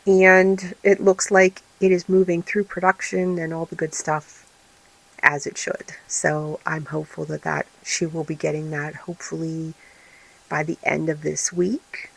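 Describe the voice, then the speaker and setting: A woman hosting a casual vlog, her voice raspy and tired, rambling with many fillers and restarts. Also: sits low, flat delivery, unhurried, poor audio. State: tired, recovering from illness. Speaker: vlog host and small craft business owner. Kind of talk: casual chatty vlog update.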